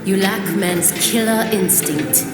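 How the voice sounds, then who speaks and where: A woman plays a cruel, condescending villainess in a game cutscene. Her mid-pitched voice is measured and mocking, ringing in a long reverb under loud music. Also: close to an everyday voice. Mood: cold, menacing. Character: cold, condescending female villain, cruel handler. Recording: echoing hall, loud music behind.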